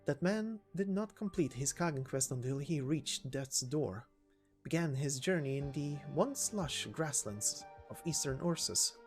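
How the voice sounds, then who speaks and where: Man as an old campaign knight, game dialogue, solemn, measured, formal, calm storyteller, faint music underneath. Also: fairly high pitch. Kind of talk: dramatic reading of game dialogue. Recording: voice over background music.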